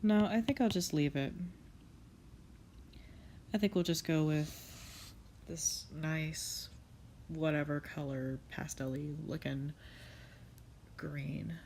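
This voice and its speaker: A woman's voice: sits low, takes it slowly, long pauses, darkish tone, a little hiss or hum. A young woman artist chatting casually while she draws, self-critical and swearing under her breath, sniffling from a cold. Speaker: comic artist. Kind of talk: casual narration over a drawing session.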